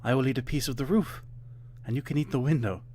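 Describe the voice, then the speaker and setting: A man's voice: medium pitch, quick pace, a little mellow, a little hiss or hum, natural voice. A man voicing an eager, hungry little boy in a storybook fairytale. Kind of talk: dramatized fairytale storybook reading.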